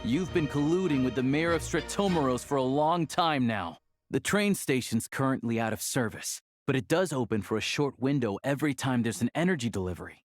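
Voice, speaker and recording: A man's voice: mid-range voice, lively intonation, a little fast, dry recording, soft background music, close to an everyday voice. Man playing a blunt, shrewd hunter. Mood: accusing. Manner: blunt, methodical, confident. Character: shrewd, blunt male hunter exposing a fraud. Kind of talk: video game voice acting.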